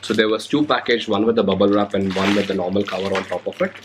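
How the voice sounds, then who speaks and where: A man's voice: lowish voice, steady tone, quick pace, background noise. A young man with an Indian English accent, recovering from a cold, talking casually through an unboxing vlog with lots of hesitant fillers. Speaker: motovlogger. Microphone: handheld recorder or camera mic.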